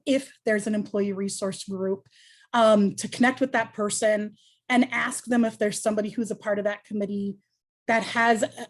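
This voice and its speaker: A woman's voice: medium pitch, darkish tone. A woman speaks as a panelist on a video call, earnest and reflective. She thinks aloud, leaning on ums and restarting her sentences. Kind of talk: panel discussion answer.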